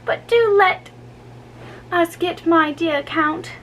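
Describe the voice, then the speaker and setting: A woman's voice: very high voice, takes it slowly, fairly bright tone, slight background noise, pitched above the performer's own voice, exaggerated voice. A woman voicing a saucy, bratty young ballet girl in a storybook reading, bold and dismissive. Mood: saucy, scoffing.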